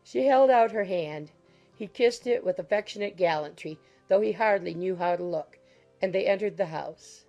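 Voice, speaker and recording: A woman's voice: medium pitch, moderate pace, warm tone, muffled recording, faint music behind. A woman reading scripted literary narration as an audiobook narrator.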